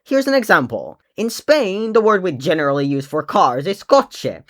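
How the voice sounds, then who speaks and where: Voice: medium pitch, big pitch swings, normal speed, a bit bright. A young adult native Finnish speaker talking in a casual vlog, blunt and sarcastic, swearing now and then while ranting. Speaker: video creator.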